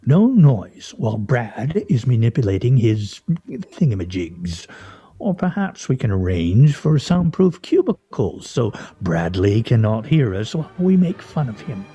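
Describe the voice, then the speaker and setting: A man's voice: medium pitch, sing-song, measured pace, mellow, breathy edge, no echo, muffled recording. A man playing a wry, theatrical husband in a comic radio play, speaking in a pompous, mock-formal way. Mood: wry, teasing. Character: wry, theatrical, teasing husband with grandiose fantasies. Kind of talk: stage radio play comedy acting.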